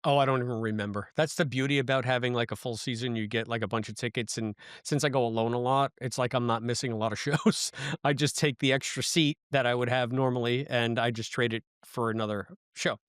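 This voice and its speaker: A man with a medium-pitched voice co-hosts a podcast in casual conversation. He drops in joking asides, and the sound is dry and close. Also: steady tone, a little fast, a bit bright, clean tone, no room sound. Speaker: podcast co-host.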